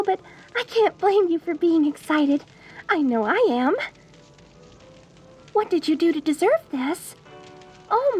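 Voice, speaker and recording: High-voiced, lovestruck deranged mortician bride. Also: female voice, darkish tone. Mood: lovestruck, dreamy. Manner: theatrical, cooing, genteel and gushing with endearments. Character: sweetly deranged yandere woman mortician, lovestruck and menacing bride. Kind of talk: dark comedy audio roleplay, addressed to the listener.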